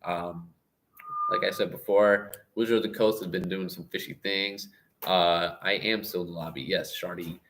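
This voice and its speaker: A young man hosting a casual livestream, rambling and stop-and-start, a little hoarse. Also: low-pitched, steady tone, moderate pace, a bit bright, a little hiss or hum, rough recording. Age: young adult. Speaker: livestream host.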